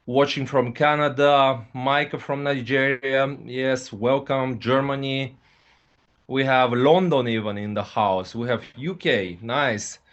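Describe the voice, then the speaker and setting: A man hosts a live online presentation, his English halting and full of filler sounds. His voice sits at an ordinary middle pitch. The sound is a dull, lo-fi video-call recording. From Bulgaria. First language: Russian. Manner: hesitant, frequent fillers, repeats "okay, guys". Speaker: host, company ambassador.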